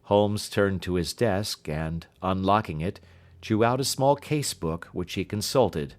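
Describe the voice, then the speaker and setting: A man narrating a classic story as an audiobook reader, in a measured, formal storytelling manner. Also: low-pitched. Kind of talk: scripted literary narration.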